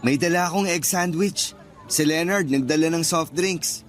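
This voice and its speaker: Higher-pitched, kind young Filipino man acted out. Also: moderate pace, faint music behind, close to an everyday voice. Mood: friendly. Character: kind, good-natured young male suitor, nervous but gracious. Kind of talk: Filipino radio drama acting.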